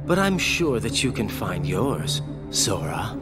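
A man's voice: fairly low pitch, big pitch swings, takes it slowly. A man voicing a smug, cryptic villain who taunts and speaks in riddles. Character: smug, cryptic adult man of a dark order. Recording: loud game music behind.

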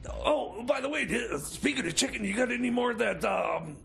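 A man playing a rambling, stoned, excitable sidekick in improvised comedy radio theater, stammering and repeating himself. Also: very high voice, moderate pace, vocal fry, exaggerated voice. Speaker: co-host character on a comedy radio show.

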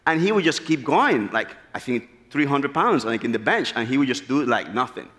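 A man in his thirties from the Republic, preaching an earnest, animated sermon at a church pulpit. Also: medium pitch, normal speed. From Dominican Republic. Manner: animated, gesturing freely, earnest storytelling. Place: large church sanctuary, at a pulpit. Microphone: pulpit mic. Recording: long church hall reverb.

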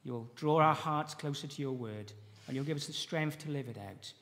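A man leading a church service, reading scripture aloud in an earnest, measured way and praying. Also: a little high, fairly bright tone. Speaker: church leader introducing the preacher. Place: church sanctuary with wooden panelling. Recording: long reverb of a church hall.

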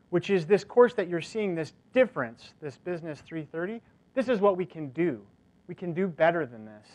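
A man in his forties giving a casual, energetic conference keynote, chatty and full of "like" fillers, in a large lecture hall. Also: a little high. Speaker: keynote speaker. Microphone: lapel mic.

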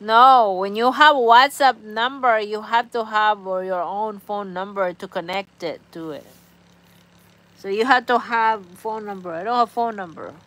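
A woman in her forties with a Filipino accent, chatting casually as a livestream host in simple, halting, repetitive phrases. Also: medium pitch, a bit bright, rough recording. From Philippines. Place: small indoor room on a couch at home. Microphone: phone at arm's length.

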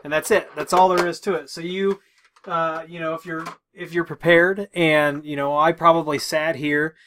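Man, middle-aged tutorial host, casual step-by-step delivery, pitched a bit high. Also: flat delivery, moderate pace, a bit bright. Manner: informal, talks through each step as doing it. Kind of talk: casual instructional walkthrough. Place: small room with tech equipment, indoors.

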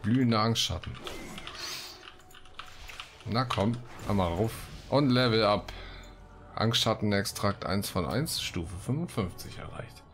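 Ein Mann kommentiert locker und scherzhaft sein Spiel, murmelt vor sich hin und liest Quests vor. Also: male voice, lowish voice, expressive, slow pace, pauses often, fairly bright tone, a little hiss or hum. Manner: joking, muttering to himself. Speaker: Let's Play host. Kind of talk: casual gaming commentary with read-out quests. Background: game music.

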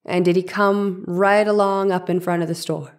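A woman's voice: fairly low pitch, fairly even intonation, moderate pace, natural voice. A woman reading the part of a persistent, exacting defense lawyer in a dramatized 1890s trial, questioning formally and methodically. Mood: insistent, probing.

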